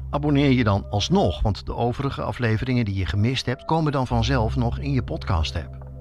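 A man with a mid-pitched voice hosts a scripted podcast, addressing listeners directly. His intonation is very lively, with music clearly behind him. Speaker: podcast host and reporter.

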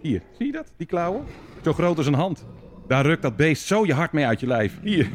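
Animated imperious prince, noisy background. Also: male voice, a little high, normal speed. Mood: menacing relish. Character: imperious, menacing Prince of Orange, stadholder.

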